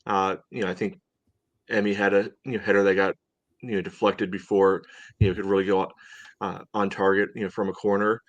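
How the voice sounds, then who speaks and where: A man co-hosting a podcast, talking through sports analysis casually in a measured, hedging way full of "you know". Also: low voice, little pitch movement, no room sound, highs cut off. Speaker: podcast co-host.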